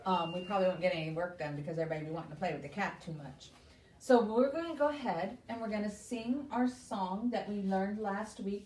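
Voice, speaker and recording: A woman's voice: medium pitch, darkish tone. A woman hosts story time for young children, reading and explaining in simple terms with questions for her listeners. Her voice is picked up from a little distance in an echoey room, with faint noise behind it.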